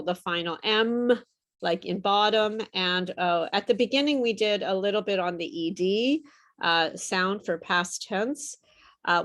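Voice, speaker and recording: Slow, patient woman teaching pronunciation. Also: medium pitch, fairly bright tone. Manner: patient, encouraging, explains with repeated example words. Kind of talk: online group pronunciation lesson.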